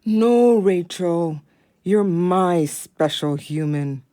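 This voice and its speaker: A woman playing a devoted, watchful pet dog who narrates in measured lines in an audio play. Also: lowish voice, animated, dark tone. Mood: reassuring.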